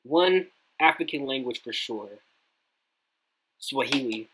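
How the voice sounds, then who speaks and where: A reaction video host talking over a street interview clip, blunt and quick to swear. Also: mid-range voice, animated, a bit bright, poor audio.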